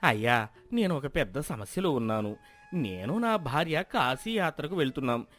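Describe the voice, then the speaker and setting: A man's voice: mid-range voice, big pitch swings, normal speed, fairly bright tone. A man playing an earnest, trusting, hardworking villager who speaks respectfully and plainly in a moral story.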